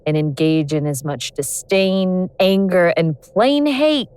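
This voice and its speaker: Vengeful ancient goddess in human form: lower register, wry, world-weary, scornful, audio drama. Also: female voice, animated, a little mellow, clean tone, no room sound. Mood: bitter, scornful. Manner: sardonic, world-weary, deliberate explaining.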